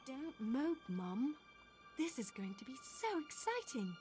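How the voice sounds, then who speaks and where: A woman's voice: sits high, very animated, unhurried, over music. A young woman playing a spirited, thrill-seeking noble daughter in a video game, with an eager, theatrical manner. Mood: excited, teasing. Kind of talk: video game cutscene acting.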